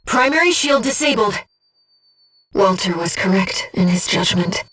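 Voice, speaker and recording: A gentle, earnest female spirit guiding a pilot in a video game. Her soft voice sits at a medium pitch and moves slowly, with composed, measured phrasing. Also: lively intonation, husky, far from the mic. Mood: urgent, alert. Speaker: mission support operator. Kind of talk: scripted video game voice acting.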